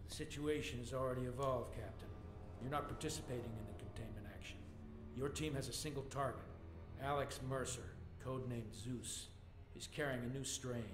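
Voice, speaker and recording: An actor playing a stern older army general in a video game, commanding and measured. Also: male voice, mid-range voice, close to an everyday voice. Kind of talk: video game cutscene acting. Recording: echoey room, music underneath.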